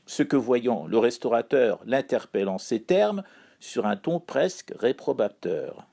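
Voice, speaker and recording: Male columnist from Iran, dark gravelly voice, dry ironic read. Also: mid-range voice, big pitch swings. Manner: wry, ironic, measured delivery. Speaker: chronicler. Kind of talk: read-aloud spoken column.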